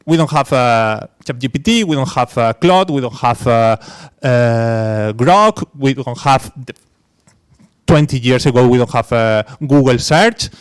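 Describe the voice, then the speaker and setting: A man's voice: medium pitch, unhurried, clear, resonant tone. A man from Spain, an English speaker, talking on a conference panel with many fillers and restarts. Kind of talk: academic panel discussion.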